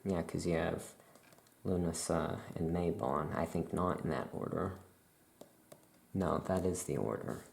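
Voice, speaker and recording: A performer voicing a chatty, musing necromancer witch shopkeeper in a soft-spoken ASMR roleplay, with writing sounds behind. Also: low-pitched, unhurried, gravelly, long pauses, heavily acted. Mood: relaxed, musing.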